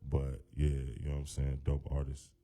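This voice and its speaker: A man with a very deep voice, a hip-hop artist talking as a guest in a radio studio in a loose, laid-back way full of fillers. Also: monotone, normal speed, dark tone. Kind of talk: casual radio interview.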